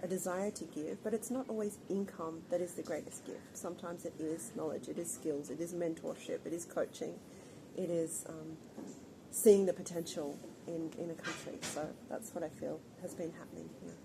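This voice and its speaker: A middle-aged woman from Australia answering interview questions in a thoughtful, earnest, measured way. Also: lowish voice, fairly even intonation. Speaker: guest, founder of an organization. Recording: background ambience noise.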